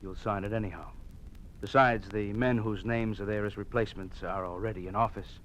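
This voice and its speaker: An actor playing a cold, menacing regime enforcer in an old-time radio drama, curt, smug and threatening. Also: male voice, fairly low pitch, steady tone, fairly quick, close to an everyday voice. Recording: faintly noisy old radio recording.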